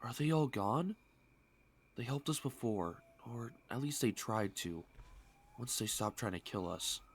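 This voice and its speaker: A man voicing an earnest, determined young man in an amateur comic dub, speaking in a measured, pleading way over music. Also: medium pitch, animated, a little hiss or hum. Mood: earnest, probing. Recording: music behind the voice.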